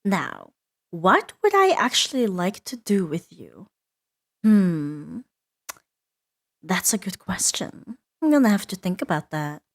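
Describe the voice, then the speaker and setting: A woman voicing a seductive, menacing and playful female demon in a roleplay ASMR audio drama, teasing and imperious, drifting into breathy whispers. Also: mid-range voice, sing-song, takes it slowly, full character voice. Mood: sly, musing.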